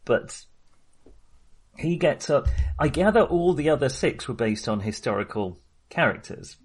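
A man's voice: medium pitch, expressive, unhurried, breathy edge. A man talking casually as a podcast host discussing films, enthusiastic but hesitant and rambling as he goes.

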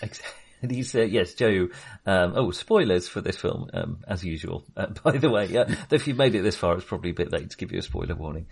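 A man with a husky, slightly low voice co-hosts a film podcast. He talks in a loose, casual way, full of ums and restarts as he works out what he thinks. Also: expressive, a little fast, a little mellow. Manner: hesitant, many restarts and ums. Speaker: podcast co-host.

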